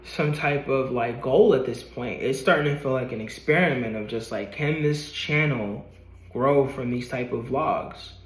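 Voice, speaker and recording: A man's voice: mid-range voice, unhurried, roomy sound, poor audio. A man in his late twenties talking to a camera in a motivational vlog, earnest and rambling, swearing casually and repeating himself. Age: 20s. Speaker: vlogger.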